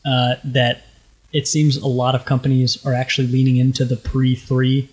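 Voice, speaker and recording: A man's voice: mid-range voice, monotone, normal speed, darkish tone, rough recording. A young man with a light tenor voice, co-hosting a podcast in casual, rambling chat with many fillers. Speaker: podcast co-host.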